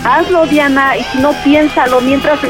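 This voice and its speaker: A woman's voice: high voice, steady tone, moderate pace, over music. A middle-aged woman, in an unscripted phone conversation. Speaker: prank call target.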